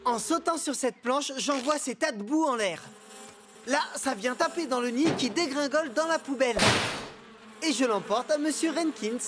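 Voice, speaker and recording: A woman voicing an eager, headstrong country boy in a cartoon dub, confident and excitable, over music. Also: sits high, moderate pace, background noise, close to an everyday voice.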